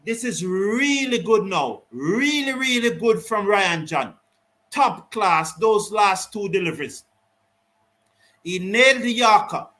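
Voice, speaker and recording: Bright high-voiced man calling cricket. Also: slow pace. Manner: lively, rises excitedly on big shots, Caribbean English phrasing. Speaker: cricket watch-along commentator. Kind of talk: live ball-by-ball commentary reading viewer chat.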